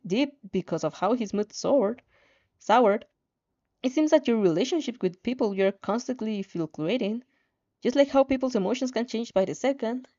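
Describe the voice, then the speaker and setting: Lively high-voiced woman narrating fan fiction. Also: dull audio. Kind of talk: expressive story narration with casual reactions.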